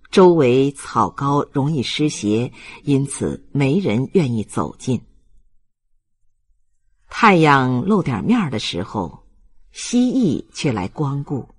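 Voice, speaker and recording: A man reading a literary audiobook as narrator in a solemn, measured and expressive manner. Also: medium pitch, husky.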